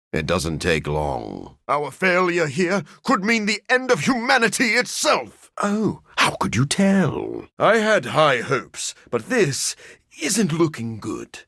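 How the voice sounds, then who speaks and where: A brooding male vampire scientist from a video game. His voice is husky and gravelly, with pitch that swings very widely. He speaks formally and awkwardly, with deadpan wit, recorded close and very dry. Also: dry recording, heavily acted. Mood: urgent, grim.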